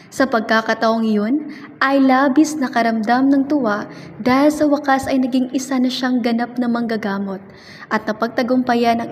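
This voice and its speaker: A woman's voice: a little high, darkish tone, slight background noise. A woman with a Filipino accent reading scripted narration and announcements for a radio drama. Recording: reverberant recording with music behind.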